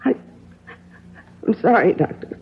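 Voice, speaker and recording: An actress playing a scheming, greedy, murderous wife in a 1970s radio drama. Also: female voice, measured pace, natural voice. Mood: feigned distraught. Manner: cool and teasing, theatrically distraught when pretending grief. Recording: dull, noisy old radio recording.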